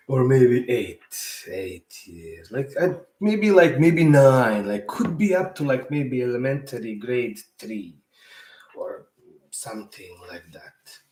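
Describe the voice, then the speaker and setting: A man's voice: medium pitch, sing-song, takes it slowly, darkish tone, roomy sound, recorded from a distance. A man from Bosnia whose first language is Serbo-Croatian, telling a story at home in a rambling, casual way, correcting himself and chuckling as he goes. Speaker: podcast host. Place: room at home.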